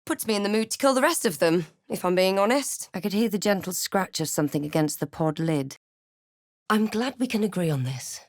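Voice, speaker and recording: A woman voicing a snarky, proud female adventurer and spellcaster in a fantasy video game, with crisp, theatrical delivery. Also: medium pitch, animated, a little fast, natural voice. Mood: dry, scornful. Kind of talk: scripted game character lines and narration.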